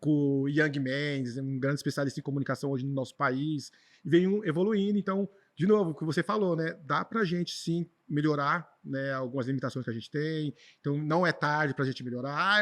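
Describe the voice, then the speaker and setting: A man with a Brazilian accent speaks very quickly in a slightly high voice. He tells personal stories in an informal, conversational way, repeating words for emphasis as an interview guest. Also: fairly bright tone. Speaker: podcast guest, traveler and speaker.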